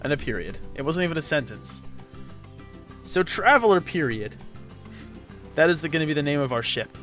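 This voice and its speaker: A man's voice: on the high side, sing-song, normal speed, a bit bright, faint music behind. A man hosting a let's play, giving casual, joking gameplay commentary to a co-commentator. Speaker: host of a video game let's play.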